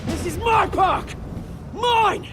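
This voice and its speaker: A man's voice: very high voice, slow, loud music behind the voice. An actor playing a volatile, down-on-his-luck British rogue in a video game, theatrical, cocky and bitter. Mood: menacing, furious. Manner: theatrical, swinging from chummy banter to shouting.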